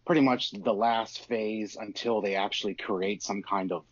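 A man's voice: lowish voice, steady tone, normal speed, dry recording, lo-fi sound. An American man chatting casually as a podcast host. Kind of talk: casual chat.

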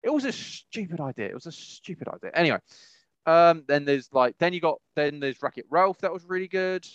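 A man's voice: on the high side, big pitch swings, fairly quick, fairly bright tone, no room sound, lo-fi sound. A man hosting a podcast, chatting casually and opinionatedly in a rambling, emphatic way, often repeating phrases. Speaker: podcast host. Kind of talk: casual opinionated chat about films.

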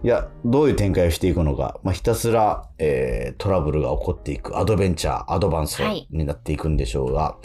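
A man's voice: very deep voice, lively intonation, fast, few pauses, dark tone, faint music behind. A man hosting a radio show in a casual, chatty style.

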